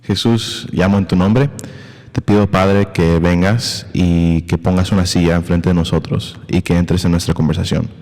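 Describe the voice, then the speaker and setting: A man's voice: sits low, fairly even intonation, moderate pace, a little mellow, in a large hall. A male podcast host talking casually and hesitantly, with many fillers and English words mixed in. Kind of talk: casual conversational interview.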